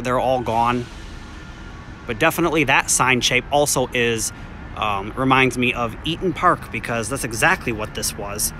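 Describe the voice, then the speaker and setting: A man's voice: mid-range voice, sharp tone, a little hiss or hum. Chatty man filming on his phone. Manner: chatty, easygoing, thinking aloud with ums. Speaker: vlogger exploring places and reviewing food. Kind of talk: casual walkthrough commentary and food review. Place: outside an empty restaurant, then inside a diner. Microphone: phone camera at arm's length.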